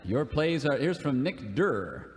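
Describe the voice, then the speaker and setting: A man's voice: medium pitch, big pitch swings, measured pace, big-room sound, slight background noise. A man reading letters aloud and bantering in a folksy, joking way on a live comedy radio show. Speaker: radio show performer and singer.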